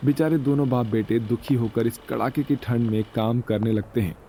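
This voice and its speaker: A man's voice: medium pitch, fairly even intonation, moderate pace, dark tone, a little hiss or hum. A man narrating a scripted moral story as the storyteller.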